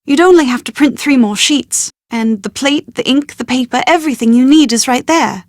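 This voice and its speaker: An actress as a young British woman in an adventure game, high-voiced and posh. She is chatty and easily exasperated, with an anxious streak. Her wordy, expressive lines come through close and dry. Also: moderate pace, no room sound, lightly acted. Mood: flustered, grateful. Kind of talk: scripted video game character dialogue.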